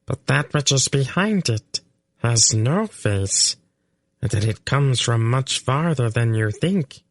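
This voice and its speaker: A small furry male fenling creature, speaking in a high, piping chitter that sits far above an adult man's range. He talks in earnest, formal phrases, slowly and deliberately. The sound is dry and close. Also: animated, dry recording. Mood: solemn. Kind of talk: audiobook character voice.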